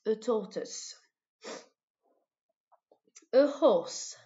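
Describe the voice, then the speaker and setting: A French-accented woman teaching vocabulary in a didactic way, repeating words deliberately for pupils. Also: a little high, lively intonation, mellow. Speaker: English teacher. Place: voice-over of a screen recording. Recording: dull lo-fi microphone sound.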